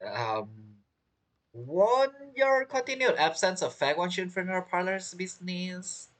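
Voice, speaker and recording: A woman's voice: lowish voice, lively intonation, unhurried. A woman giving casual, rambling let's-play commentary, reacting aloud as she plays. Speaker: game streamer.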